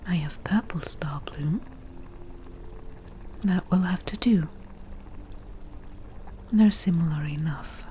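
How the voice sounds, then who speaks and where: A woman voicing a laid-back witch brewing potions, whispering breathily and matter-of-factly in an unhurried ASMR roleplay. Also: on the low side, warm tone, a little hiss or hum. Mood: murmuring, matter-of-fact. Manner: unhurried, matter-of-fact, casual.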